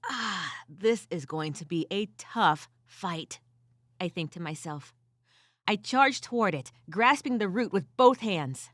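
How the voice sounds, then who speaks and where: Woman acting a weary, gritty furred adventurer, highly animated. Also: slow pace, no room sound, studio quality, heavily acted. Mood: weary, pained. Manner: dramatic first-person storytelling with wry asides. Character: weary, gritty furred female adventurer casting wind spells. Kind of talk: audio drama narration.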